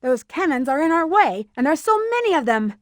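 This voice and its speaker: A woman's voice: sits high, very animated, fairly quick, no echo, clean studio sound. A woman voicing a confident, sly female bat treasure hunter in a video game, with a sassy manner. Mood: brisk, instructive.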